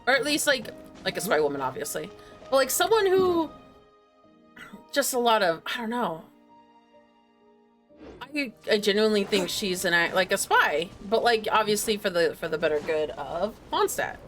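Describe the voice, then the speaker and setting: A woman doing casual gameplay commentary. Her voice is mid-range and very animated in pitch, and she muses aloud haltingly. Faint game music sits behind her. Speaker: game streamer.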